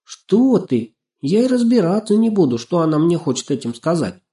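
Storybook girl, acted by a man: young, curious, easily scared, pitched high, tender and exclaiming. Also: normal speed, warm tone, natural voice. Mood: frightened, squeamish. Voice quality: ringing, tender. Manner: exclaiming, full of questions.

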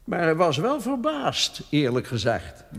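An actor playing a deferential, courteous clerk of a notary in a historical radio drama, formal and obliging. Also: male voice, on the high side, huge pitch swings, unhurried, vocal fry, a bit bright, big-room sound, full character voice. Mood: polite, formal. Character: deferential, polite notary's clerk showing a house.

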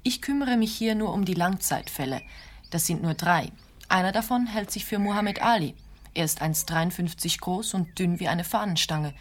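Woman acting a careful clinic employee, slightly low voice, earnest, matter-of-fact, radio drama dialogue. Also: normal speed, a little hiss or hum, close to an everyday voice. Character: cautious, concerned female clinic carer, matter-of-fact and naive. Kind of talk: German-language radio play acting.

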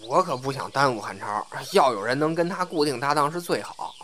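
A man's voice: medium pitch, lively intonation, a little fast, sharp tone, a little hiss or hum. A man playing a polite but teasing young newcomer to a comedy troupe in an audio drama. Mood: hesitant, wry.

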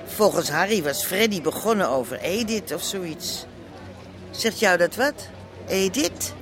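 A woman's voice: lowish voice, lively intonation, unhurried. An actress playing a worried, chatty middle-aged mother in a radio drama. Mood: worried, probing. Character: worried, chatty mother of a stubborn grown son. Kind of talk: naturalistic radio drama dialogue. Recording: busy outdoor street noise behind.

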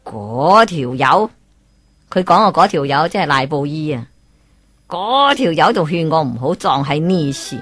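A woman's voice: deep voice, lively intonation, measured pace, a bit bright, no echo, clean studio sound, faint music behind, full character voice. A woman voicing a smug, gloating old man who chuckles with scorn in a spoken storybook.

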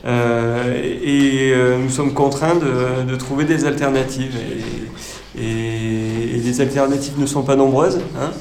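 A man playing a cautious, evasive town mayor, speaking haltingly and officially at a mock press conference for radio. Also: medium pitch, steady tone, darkish tone. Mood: cautious, resigned. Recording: roomy echo with faint noise.

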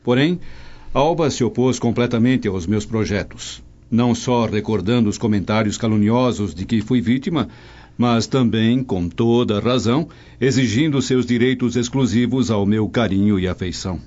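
A man's voice: medium pitch, normal speed, a little mellow, lightly acted. A man playing a dignified, traditional Roman nobleman in a radio drama, with formal, earnest speech. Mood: earnest, reflective. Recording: dull lo-fi audio.

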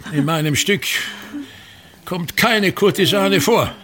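An actor playing a pompous, tyrannical ageing actor-manager, declaiming contemptuously and repetitively in a radio drama. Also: male voice, sits high, takes it slowly, fairly bright tone. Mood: exasperated, commanding. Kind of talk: theatrical German radio drama monologue.

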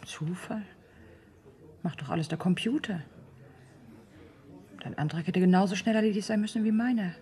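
An actress playing a wry, questioning middle-aged bride-to-be in a radio drama, understated and probing. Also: female voice, mid-range voice, moderate pace, long pauses, dark tone, natural voice.

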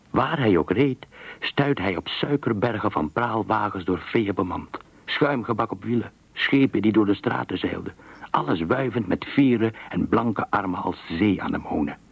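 Deep-voiced male storyteller with a husky edge. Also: no echo. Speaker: narrator. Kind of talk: literary scripted narration of a radio thriller story.

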